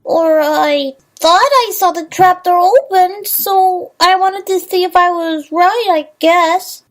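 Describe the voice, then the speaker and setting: A woman's voice: very high voice, fairly even intonation, slow pace. A woman voicing a timid young female cartoon pony in a fan audio drama, hesitant and meek. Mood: embarrassed, meek.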